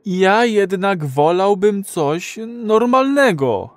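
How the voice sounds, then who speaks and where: A timid, awkward client in a radio play. His voice is high for a man and he talks slowly, groping naively for each word. The sound is dry and close. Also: lively intonation, no echo. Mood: embarrassed, hesitant. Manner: hesitant, fumbling for words. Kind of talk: Polish audio drama acting.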